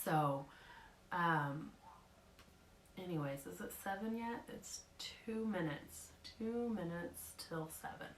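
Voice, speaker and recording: A woman, slightly hoarse, giving a casual live talk in a nervous, rambling way with lots of fillers. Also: on the low side, takes it slowly, reverberant, a little hiss or hum. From Oklahoma.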